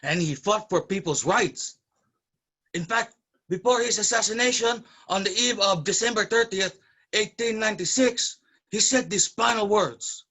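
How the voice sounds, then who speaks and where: Man acting earnest, high-voiced history teller. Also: moderate pace, bright, forceful tone, exaggerated voice. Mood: solemn. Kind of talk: staged reading dialogue.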